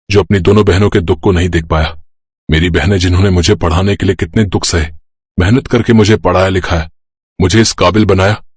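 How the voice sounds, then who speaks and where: Man playing low, husky, earnest brother. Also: no room sound, studio quality. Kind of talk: Hindi moral-story cartoon dubbing.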